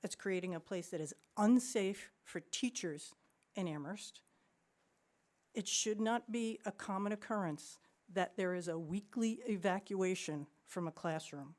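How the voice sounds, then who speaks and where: A woman giving an unscripted public comment at a school board meeting, earnest and pleading, with halting restarts. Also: medium pitch, darkish tone.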